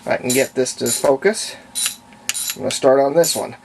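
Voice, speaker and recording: Gravelly man talking through a hands-on repair. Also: medium pitch. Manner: folksy, unhurried, thinking aloud while working. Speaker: radio repair hobbyist demonstrating. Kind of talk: casual hands-on tutorial narration. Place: indoors at home, hands-on at workbench. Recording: faint background noise, home workshop.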